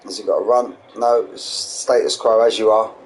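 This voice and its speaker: A young man with a hoarse, fading voice, calling an online sim race live with quick, excitable play-by-play and chatty asides. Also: lowish voice. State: losing his voice, hoarse. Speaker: sim racing league commentator. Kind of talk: live race commentary streamed. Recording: noisy streamed audio.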